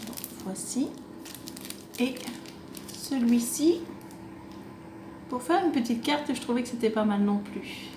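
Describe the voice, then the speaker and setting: A woman's voice: on the high side, expressive, slow pace, pauses often. Lady doing a craft haul vlog, kinda rambly and unsure, echoey room, noisy phone recording. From France, half Italian. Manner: hesitant, rambling amateur presenting. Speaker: craft vlogger showing a shopping haul. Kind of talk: casual unscripted chat to camera. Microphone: phone on a table. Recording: roomy echo, clearly noisy home recording.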